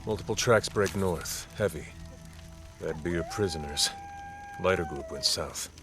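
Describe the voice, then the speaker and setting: An actor playing a steady military scout and tracker in a video game, terse and matter-of-fact. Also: male voice, low-pitched, a little slow. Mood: alert, businesslike. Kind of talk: scripted military game acting. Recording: game audio with loud music.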